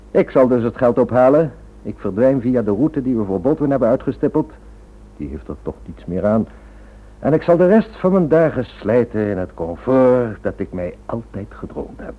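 A man playing a smug, cynical criminal schemer in a radio play, suave and mock-polite with quiet menace. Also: medium pitch, very animated, normal speed. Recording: thin narrow-band old radio drama recording.

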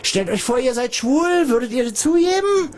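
A man hosting a late-night radio call-in show, sarcastic and rambling, with sudden bursts of mock shouting. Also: very high-pitched, lively intonation, normal speed. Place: radio studio. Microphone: studio microphone.

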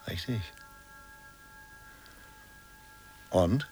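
An actor playing an enigmatic, teasing painter in a radio play, speaking in terse, measured questions and replies. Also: male voice, dark tone, faint music behind, natural voice. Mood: quietly probing. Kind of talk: radio play acting, intimate dialogue.

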